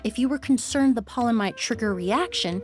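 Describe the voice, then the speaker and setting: A woman voicing a composed young perfumer in a video game, speaking in a measured, explanatory way. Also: a little high, a little mellow, natural voice. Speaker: perfumer with medical knowledge. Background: faint game music.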